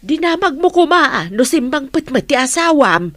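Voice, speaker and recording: A woman's voice: sits high, big pitch swings, normal speed, dry recording. Concerned older Ilocano mother played by a woman. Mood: prodding. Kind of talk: Ilocano radio drama acting.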